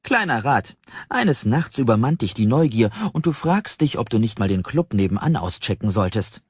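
Man voicing a cheerful, husky library doorkeeper, lifted pitch. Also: sing-song, normal speed. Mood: amused. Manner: friendly, amused, eager to explain. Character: cheerful, welcoming male doorkeeper of a secret library. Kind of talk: audiobook character voice. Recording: slightly dull, roomy audiobook recording.

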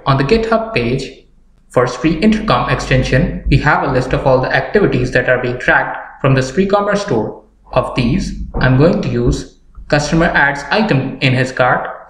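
A young man presenting a software tutorial in a scripted narration style. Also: mid-range voice. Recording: roomy echo with background noise, clicks.

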